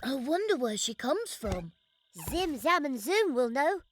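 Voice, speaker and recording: A woman's voice: sits high, very animated, measured pace. A woman voicing a curious, practical young girl in a children's storybook.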